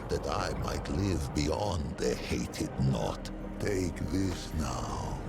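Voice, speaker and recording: An actor playing a solemn, wise alien forest-dweller in a video game, speaking in measured, formal, stately phrases with a creaky voice. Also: male voice, heavily acted. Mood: grave, grateful. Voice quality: low, creaky. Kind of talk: theatrical video game character acting. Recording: loud music under the voice.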